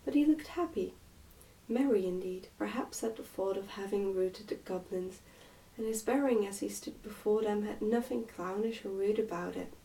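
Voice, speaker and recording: Creaky, mellow woman reading storybook ASMR. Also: medium pitch, moderate pace, distant mic, rough recording. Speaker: reader, ASMR channel host.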